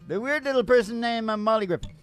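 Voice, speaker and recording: A man's voice: extremely high pitch, animated, fast. A man hosting a country comedy radio show, rambling along in folksy old-time storytelling banter. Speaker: radio show host and singer. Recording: loud acoustic guitar behind the voice. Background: acoustic guitar strumming.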